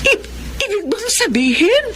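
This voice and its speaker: A woman's voice: extremely high pitch, very animated, unhurried, sharp tone, a little hiss or hum, heavily acted. An actress playing a kindly, devout older mother with emotional delivery in a Filipino radio drama. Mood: moved, hopeful.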